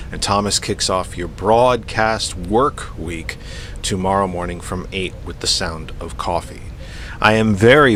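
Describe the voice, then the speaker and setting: A man hosting a live radio talk show, congested and sniffly from allergies, speaking deliberately and repeating phrases for emphasis. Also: deep voice, fairly bright tone, slight background noise. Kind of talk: live internet radio talk, program announcements.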